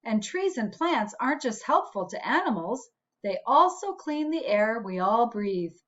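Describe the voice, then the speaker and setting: Slow, very animated woman reading to children. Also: on the high side. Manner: deliberate, teacherly phrasing for young listeners. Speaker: school principal reading aloud to children. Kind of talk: slow, expressive children's read-aloud with asides. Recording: dull, lo-fi recording.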